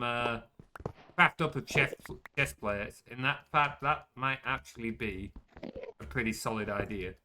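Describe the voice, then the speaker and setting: A man's voice: medium pitch, background noise. A young man chatting casually while he streams a game, self-mocking and swearing when things go wrong. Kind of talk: casual gameplay commentary.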